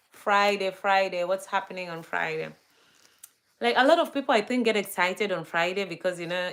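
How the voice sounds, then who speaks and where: A woman with a Kenyan accent talks through a casual livestream at an unhurried pace, her voice sitting in a middle range. She drifts between thoughts with fillers and soft restarts, greeting viewers by name. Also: medium pitch. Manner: relaxed, chatty, laughs often, many fillers and restarts. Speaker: livestream host. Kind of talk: casual livestream chat reading viewer comments.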